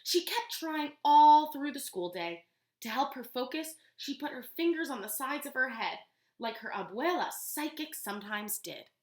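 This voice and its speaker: A woman teacher reading a storybook aloud to young children, pausing to explain words and ask questions. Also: sits high, animated. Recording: roomy, echoey room sound.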